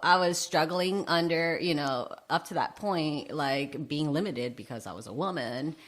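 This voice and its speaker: A woman's voice: sits low, unhurried. A middle-aged woman telling personal stories casually and candidly as an interview guest, with self-deprecating humour. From United States, Vietnamese immigrant family, arrived aged three. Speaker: interview guest, drag king and public speaker. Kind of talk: animated personal storytelling in casual chat.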